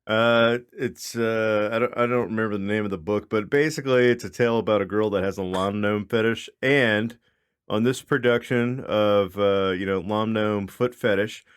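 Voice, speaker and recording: A man with a slightly low voice co-hosts a comedy podcast. He speaks informally and jokes along in loose, conversational banter. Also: fairly even intonation, moderate pace, clean tone. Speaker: podcast co-host. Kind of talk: casual comedic podcast chat.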